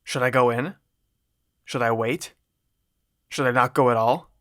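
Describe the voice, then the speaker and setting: A man's voice: mid-range voice, steady tone, a little slow, a bit bright, close to an everyday voice. A man voicing an anxious, wavering getaway partner in a crime story, hesitant and shaky. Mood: panicked, hesitant.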